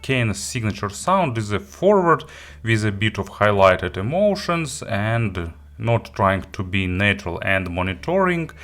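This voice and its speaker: A man who is not a native English speaker gives an unscripted product review, haltingly, often searching for words and rephrasing. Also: fairly low pitch, a bit bright. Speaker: audio gear reviewer and host. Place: indoor studio.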